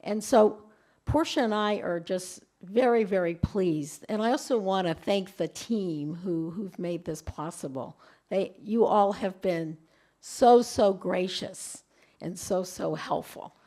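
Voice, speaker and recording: A woman's voice: medium pitch, mellow. A middle-aged woman with a light voice gives a conference presentation in a room, often restarting and repeating words. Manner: halting, repeats words while speaking. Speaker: invited speaker at a webinar.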